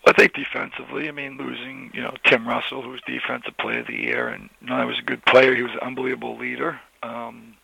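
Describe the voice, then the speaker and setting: A hoarse man, a college football head coach, answering interview questions in a rambling way with many restarts and fillers. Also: medium pitch, flat delivery, fast, few pauses, bright, forceful tone, rough recording.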